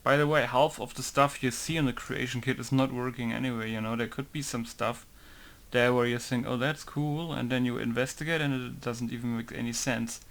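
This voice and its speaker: Gravelly man rambling through a modding tutorial. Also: medium pitch, steady tone, brisk, a bit bright. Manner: rambling, informal, swears casually. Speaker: game modder. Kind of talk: casual tutorial commentary.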